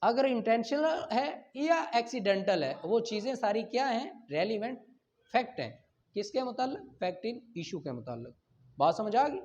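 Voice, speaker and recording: A man's voice: extremely high pitch, very animated, moderate pace, a little mellow. A man with a Pakistani accent teaching in an explanatory lecture style, posing rhetorical questions and checking that students follow. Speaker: law teacher. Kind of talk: explanatory lecture, reading statutes then explaining. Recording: dull lo-fi recording.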